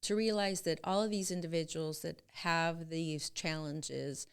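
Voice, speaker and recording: A middle-aged woman from Israel, a company co-founder, talking earnestly and in a measured way as a guest in a casual interview. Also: deep voice, steady tone, gravelly, clean tone.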